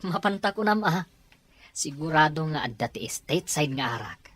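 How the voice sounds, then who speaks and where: A woman voicing an easygoing young male office friend in an audio drama, with husky, bantering, casual delivery. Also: sits low, very animated, moderate pace, full character voice. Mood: teasing. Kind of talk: Ilocano radio-style drama acting.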